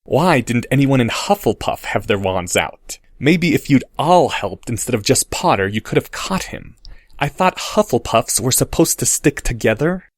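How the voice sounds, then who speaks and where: A man voicing a haughty boy wizard who talks in a slow, sneering drawl. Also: medium pitch, big pitch swings, no room sound, studio quality.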